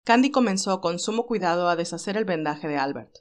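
A woman's voice: lowish voice, expressive, brisk. Woman narrating a dramatic story.

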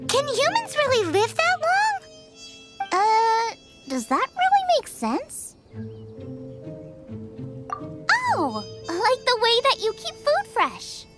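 A woman's voice: extremely high pitch, heavily acted. A woman voicing eager, bubbly young girl characters in an anime fantasy video game, with a cutesy, exclamatory delivery. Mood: puzzled, curious. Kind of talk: animated video game voice acting.

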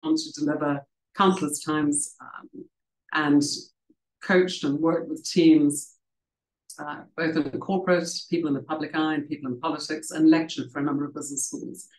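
A woman's voice: low voice, fairly even intonation, normal speed, a little mellow. A middle-aged woman, raised in South Africa, telling her career story at a book festival in a conversational, reflective way with frequent ums and restarts, speaking over a video call from home. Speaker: author and leadership coach. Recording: roomy video call audio.

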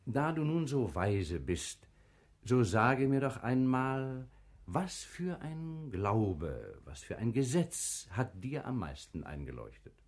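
A man playing a proud, probing sultan in a classical radio drama, with theatrical, deliberate, commanding phrasing. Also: mid-range voice, very animated, darkish tone. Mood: probing, lofty. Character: proud, probing male sultan of crusade-era Jerusalem. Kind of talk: classical stage verse radio drama acting.

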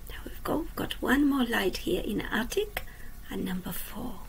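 A woman teacher reading a children's picture book aloud, asking the young listeners questions and dropping to a soft whisper at the ends of lines. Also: fairly high pitch, big pitch swings, gravelly. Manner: slow, gentle, drops to a soft whisper at line ends. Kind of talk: children's storytime read-aloud. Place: indoors at a computer.

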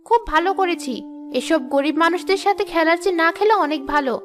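A woman's voice: extremely high pitch, fast talker. A woman voicing a snobbish, spiteful young girl in a cartoon moral story, with a haughty, mocking manner. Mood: scornful, taunting.

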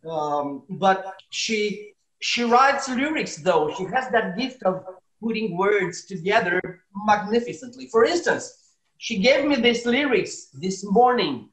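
A middle-aged man from Romania with a Romanian accent, doing deadpan stand-up comedy, chuckling at his own jokes, recorded on a webcam in a small room at home. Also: sits high, slow. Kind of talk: deadpan stand-up comedy and comic song at piano. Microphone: webcam at desk distance. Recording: roomy echo, piano behind.

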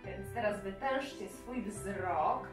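A woman's voice: mid-range voice, slow pace. A woman in her thirties leading a craft and game for young children, asking them simple questions directly. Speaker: children's lesson presenter. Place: child's bedroom indoors. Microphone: camera a few metres away. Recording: roomy, distant camera sound with music.

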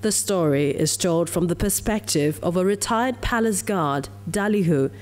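A Nigerian woman narrates a scripted news report in a fairly low voice. Faint music plays behind her. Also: normal speed, darkish tone.

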